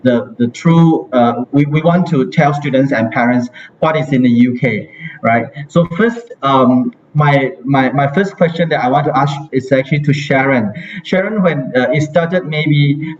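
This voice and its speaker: A man hosting a casual live chat over a video call, talking hesitantly with many fillers and restarts. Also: mid-range voice. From Malaysia. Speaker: host. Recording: distant, dull-sounding mic with faint hiss.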